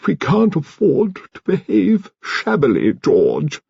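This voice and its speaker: A British man voicing a dignified, upper-class old lady in an audiobook, proud and resigned, with slow, emphatic delivery. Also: on the high side, huge pitch swings, creaky, darkish tone, breathy edge, no room sound, highs cut off, pitched above the performer's own voice, exaggerated voice. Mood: grave, resolute.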